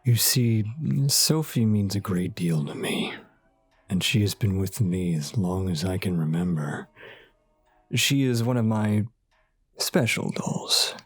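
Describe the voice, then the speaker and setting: Guy doing a sinister old doll seller, slow and raspy, menacing, dry close sound, horror radio drama. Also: low-pitched, animated, no echo, faint music behind, exaggerated voice. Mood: ominous. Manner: slow, pointed, veiled threats. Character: ominous, menacing old male doll shop owner.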